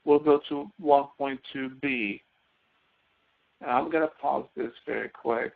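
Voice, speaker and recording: Gravelly male instructor on a phone-like line. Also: medium pitch, a little slow. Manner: instructional, explaining step by step. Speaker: course instructor. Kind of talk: live spoken software tutorial. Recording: narrow phone-like audio.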